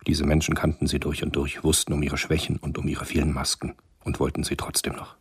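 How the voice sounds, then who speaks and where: An actor as a grave, detached storyteller, giving measured literary narration in a radio drama. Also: male voice, level tone, full character voice. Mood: reflective. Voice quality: very deep, very husky. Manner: measured, understated.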